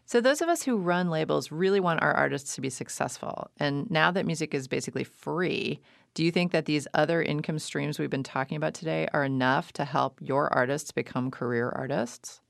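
A man's voice: fairly high pitch, brisk, creaky, fairly bright tone, no room sound, studio quality. A man who hosts the show and runs a label himself, asking his guest curious, conversational questions. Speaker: podcast host, label owner. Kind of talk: interview questions.

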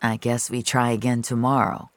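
A woman playing a low-key, apologetic young man in an audio drama, speaking in a subdued, casual, hesitant way. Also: level tone, moderate pace, no echo. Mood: deflated. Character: Soren, low-key, apologetic young male online friend.

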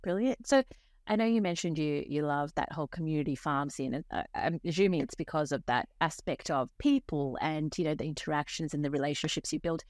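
A woman's voice: deep voice, brisk, a little mellow, dry recording, clean studio sound. A woman hosting a pharmacy podcast, upbeat and bubbly, laughing often with her guest. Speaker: podcast host. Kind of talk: podcast interview.

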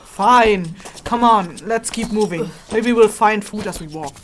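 A practical young woman guide in a fantasy audio drama, acted by a woman. She speaks at a middling pitch, matter-of-fact and exasperated, with weary sighs and a faint noise behind her. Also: measured pace, close to an everyday voice. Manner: sighing, dry, matter-of-fact.

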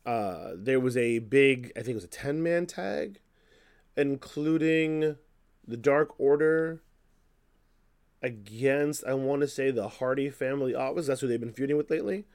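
A man hosts a casual commentary show in a slightly hoarse, mid-pitched voice. He talks conversationally and without a script, offering opinions and working through his thoughts out loud. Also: measured pace. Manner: conversational, opinionated, thinking aloud. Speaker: wrestling news podcast host.

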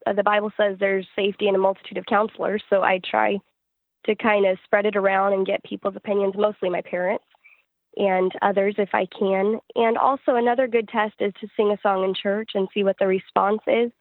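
Even-toned woman giving interview answers. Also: medium pitch, dry recording, phone-quality sound. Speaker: interviewed singer-songwriter.

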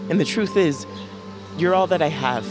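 Guy doing a brooding regretful assassin, terse, fantasy drama, bit higher voice, music under it. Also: normal speed, close to an everyday voice. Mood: remorseful. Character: weary, regretful, defiant male assassin. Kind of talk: fantasy audio drama acting.

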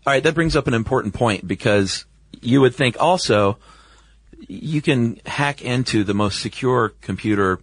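A man's voice: medium pitch, normal speed, no echo. A man co-hosting a podcast in casual, informal, folksy conversation. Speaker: podcast co-host.

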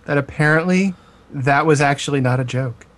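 A man's voice: a little high, moderate pace, a bit bright. A man co-hosting a podcast, talking in a casual, conversational way. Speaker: podcast co-host. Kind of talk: casual chat.

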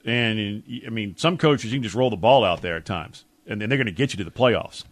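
A man's voice: fairly low pitch, a little fast, no echo. Blunt American man, dry studio sports talk. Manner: blunt, emphatic, colloquial. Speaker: sports talk radio co-host. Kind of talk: opinionated sports talk, casual chat. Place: radio studio. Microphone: close studio mic.

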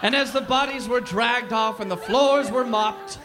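A man telling a mock-serious history story on stage, pompous and deadpan. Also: very high voice, a little slow, over music. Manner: pompous, deadpan, scolding interruptions.

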